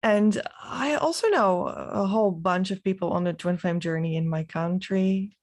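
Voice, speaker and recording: A woman from the Netherlands, a native Dutch speaker with a Dutch accent, giving a reflective, unscripted vlog monologue with halting, trailing phrases. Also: medium pitch, a little mellow. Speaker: spiritual vlogger.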